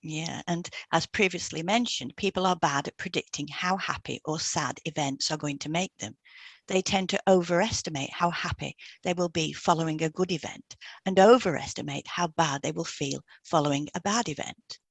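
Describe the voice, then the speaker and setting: Female co-host for scripted explainer audio. Gravelly edge to the voice, recorded dry. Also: low voice, normal speed, dry recording. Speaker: podcast co-host, hypnotherapist. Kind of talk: scripted educational narration.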